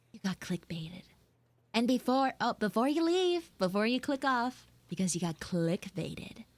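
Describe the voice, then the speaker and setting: A woman acts out a smug tsundere frog girl in an audio roleplay. The voice sits fairly high and moves very slowly, with coy, teasing pauses. It sounds dry and close. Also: no room sound. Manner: coy teasing, drawn-out pauses, occasional whispered asides.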